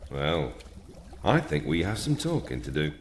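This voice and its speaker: An actor playing a smooth, menacing interrogator in an audio drama. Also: male voice, a bass voice, wildly swooping pitch, a little slow, exaggerated voice. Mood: quietly menacing. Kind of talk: audio drama acting in a trailer. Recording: echoey room, faint music behind.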